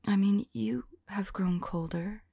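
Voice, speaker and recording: A woman playing a tender, anxious centuries-old female vampire lover in an intimate, whispered ASMR audio roleplay, speaking softly and haltingly. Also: mid-range voice, dry recording, clean studio sound. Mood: curious, puzzled. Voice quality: breathy, whispery. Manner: halting, soft, musing to a loved one.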